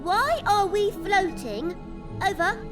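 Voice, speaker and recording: Storybook role: curious, practical young girl played by a woman, very high and unhurried. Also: big pitch swings, over music, full character voice. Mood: puzzled. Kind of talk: children's storybook character voice.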